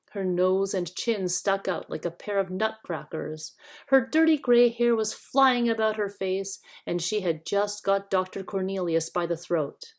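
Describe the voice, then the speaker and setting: Unhurried woman narrating a storybook. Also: medium pitch. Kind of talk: scripted audiobook narration, expressive children's story reading.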